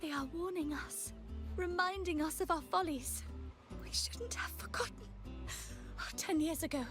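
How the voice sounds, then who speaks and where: A woman's voice: extremely high pitch, normal speed, a bit bright. An actress playing a fearful, devout, remorseful townswoman in a video game, delivering a dramatic, pleading lament. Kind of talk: scripted video game dialogue. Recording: game music under the voice.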